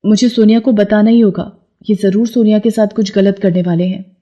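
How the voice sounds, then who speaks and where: A woman's voice: medium pitch, fairly even intonation, fairly quick, dark tone, highs cut off, natural voice. A woman voicing a meek, earnest young village girl in a dramatised moral story, speaking in a pleading way. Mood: worried.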